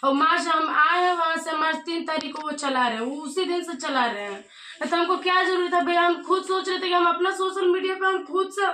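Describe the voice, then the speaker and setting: A woman with an eastern Hindi-belt accent speaks in a very high, bright and forceful voice. She remonstrates insistently, as in a phone conversation, repeating herself to press her point. The sound is echoey, like a small bare room. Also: steady tone, brisk. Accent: eastern Hindi belt (Bihar/eastern UP). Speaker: vlogger. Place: small bedroom indoors. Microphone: camera a short distance away. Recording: echoey small room, faint noise, clicks.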